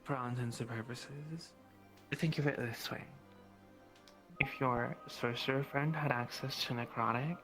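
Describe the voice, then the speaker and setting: A man playing a measured, knowledgeable guide, music behind. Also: medium pitch, steady tone, fairly bright tone, poor audio. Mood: grave warning. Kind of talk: improvised role-play chat. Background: background music.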